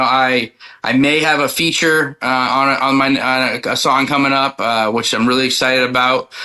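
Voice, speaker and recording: A man's voice: medium pitch, pushed and bright. A man chatting casually as an interview guest, in a laid-back, informal way. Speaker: country singer-songwriter being interviewed. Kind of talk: casual chat.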